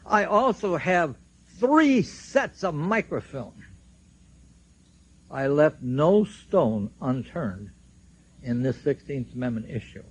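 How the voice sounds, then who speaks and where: An American man delivers an impassioned speech to an audience. His voice sits a little high, and he goes slowly and deliberately, leaning on his words and leaving long pauses between phrases. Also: big pitch swings, breathy edge, poor audio. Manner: emphatic, deliberate, with drawn-out pauses. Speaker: seminar speaker and researcher.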